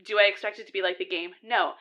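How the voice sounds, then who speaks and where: A woman's voice: medium pitch, steady tone, quick pace, sharp tone, dull audio. A woman co-hosting a podcast, speaking emphatically and swearing freely in a casual chat with friends. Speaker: podcast co-host.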